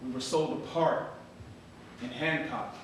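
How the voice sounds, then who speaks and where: A man's voice: fairly even intonation, a little slow, natural voice. An actor playing a solemn formerly enslaved man asking after his lost brothers, in a dramatic stage reading. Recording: very echoey hall, distant mic, faint rumble.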